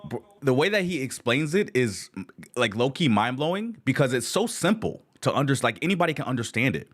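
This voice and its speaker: A man's voice: medium pitch, very animated, a little fast. A man hosting a live reaction stream, talking casually with enthusiastic slang. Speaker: channel host reacting to video.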